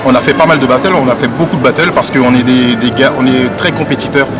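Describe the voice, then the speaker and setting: A young man from French Guiana, a dancer, answering interview questions in a relaxed, repetitive way outdoors on a city street. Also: medium pitch, fast. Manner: relaxed, repeats himself, drops to a mumble at phrase ends. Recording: street interview with loud music behind. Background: loud music.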